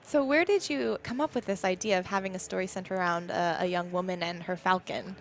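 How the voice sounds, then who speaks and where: A woman's voice: lowish voice, brisk. A woman hosting at a live event, asking a game developer questions with eager enthusiasm. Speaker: host, interviewer. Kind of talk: upbeat event interview.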